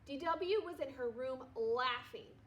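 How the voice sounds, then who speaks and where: A woman teacher reads a children's story aloud. Her voice is high, and her storytelling is expressive, made for young listeners. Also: measured pace. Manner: expressive, acts out dialogue. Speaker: second-grade teacher.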